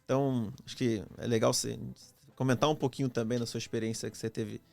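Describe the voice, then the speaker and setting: A man with a Brazilian accent hosting a casual interview, framing his questions haltingly and repeating words as he goes. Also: mid-range voice, steady tone, vocal fry, a little mellow, faint music behind. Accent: Brazilian Portuguese. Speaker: podcast host, interviewer.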